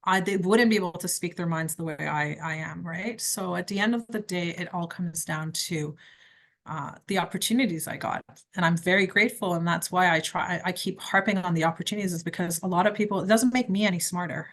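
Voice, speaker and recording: A woman from Quebec with a slightly low voice, answering interview questions. She speaks in a direct, emphatic way and lays down firm rules. Her delivery is conversational, with the confidence of an experienced lawyer. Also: a little fast. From Quebec, Canada. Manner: direct, emphatic advice-giving. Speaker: criminal defence lawyer.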